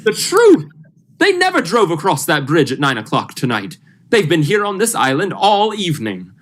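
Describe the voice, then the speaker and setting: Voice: medium pitch, wildly swooping pitch, normal speed, a little hiss or hum. A young performer playing an urgent, commanding male doctor in an old-style radio thriller, emphatic and melodramatic. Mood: indignant, forceful. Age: young adult. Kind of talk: 1940s radio thriller acting.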